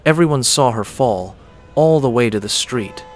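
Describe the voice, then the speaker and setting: A man reading a dramatic scripted story as a storyteller, with deliberate, theatrical phrasing. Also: mid-range voice, animated, faint music behind. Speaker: storyteller and host.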